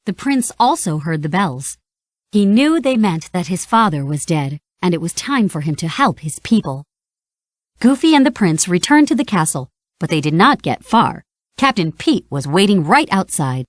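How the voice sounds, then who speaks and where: A woman narrating a read-along storybook in an animated, expressive way. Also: medium pitch, normal speed, no echo, clean studio sound.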